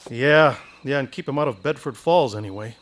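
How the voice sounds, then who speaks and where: A man's voice: mid-range voice, a little fast, fairly bright tone, natural voice. An actor playing a restless, proud young man in a staged radio drama, stammering when shy and heated when angry. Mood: wry.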